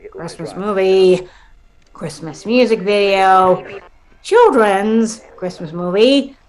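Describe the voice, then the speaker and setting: A woman's voice: medium pitch, fairly bright tone. A young woman playing an exasperated, sarcastic mall mascot in a comic radio play, with theatrical delivery. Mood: bored, grumbling. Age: young adult. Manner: theatrical, sarcastic, big exclamations.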